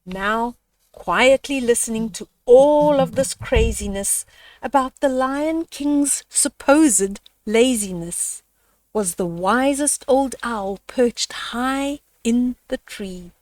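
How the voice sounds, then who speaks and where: A woman reading a bedtime story aloud to children, speaking deliberately and adding little asides for young listeners. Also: fairly high pitch, expressive, darkish tone, dry recording, distant mic, poor audio.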